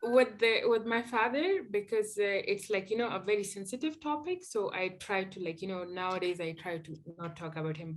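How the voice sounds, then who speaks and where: A young woman with a Pakistani accent, a guest in a casual interview, talking quickly and leaning on fillers like "like, you know". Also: on the low side, a bit bright. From Afghanistan.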